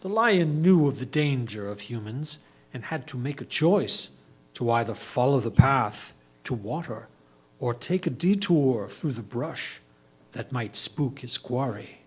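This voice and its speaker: A man reading a story aloud as a performer, with measured, theatrical emphasis. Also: medium pitch, expressive, breathy edge.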